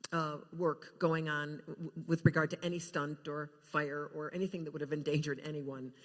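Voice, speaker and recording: A woman gives earnest recollections as a guest on a live panel. Her delivery is halting, with stammered restarts, and the room has a long echo. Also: low voice. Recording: echoey hall, live panel sound.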